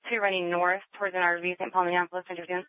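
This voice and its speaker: A male police dispatcher with a high, clear voice comes through a tinny scanner. He repeats units' reports in terse, clipped phrases. The delivery is quick and procedural. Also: flat delivery, dry recording. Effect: police radio. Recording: tinny narrow-band police scanner audio.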